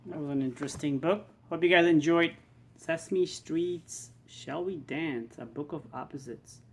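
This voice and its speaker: A woman's voice: low-pitched, measured pace, breathy edge. A woman giving a casual sign-off for a children's read-aloud video. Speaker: co-presenter signing off a read-aloud.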